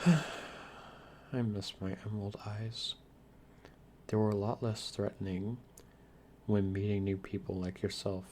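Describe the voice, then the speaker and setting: A man acts out a lonely young-bodied vampire lord for an ASMR roleplay. He speaks low and very slowly, earnest and flustered, stumbling shyly over his formal phrases. Clear background noise sits behind the voice. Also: little pitch movement. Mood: shy, amused. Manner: stammering, hesitant, softly formal.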